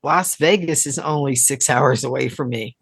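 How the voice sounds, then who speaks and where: Casting: female co-host, raspy and creaky, unhurried, laid-back casual banter. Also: rumbling low, dry recording, studio quality. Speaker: podcast co-host. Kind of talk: casual chat.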